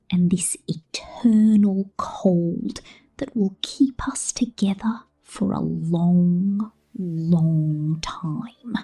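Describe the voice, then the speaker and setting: A woman's voice: mid-range voice, mellow, husky. A woman voicing a possessive, sinister robot mechanic in an ASMR roleplay, teasing and cooing intimately, partly in whispers. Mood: possessive, dreamy.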